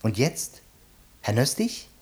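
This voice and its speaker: An officious, animated sales trainer. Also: male voice, medium pitch, slow pace. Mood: strict, lecturing. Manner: instructing, pompous, theatrical. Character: officious, pedantic male sales trainer drilling his pupils. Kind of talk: radio play acting.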